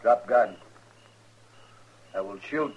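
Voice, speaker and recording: A man's voice: lowish voice, slow pace. An actor playing a stoic, loyal runaway man of few words in a 1950s radio western, speaking in clipped, broken-English phrases. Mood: stern, resolute. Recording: muffled, noisy 1950s radio recording.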